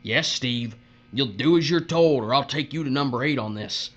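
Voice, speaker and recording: A man's voice: mid-range voice, moderate pace, natural voice. A man playing a cruel, leering bully boss in a dystopian audio drama, with a taunting drawl and folksy, uneducated grammar. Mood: gloating, bossy. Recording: muffled audio with steady mains hum.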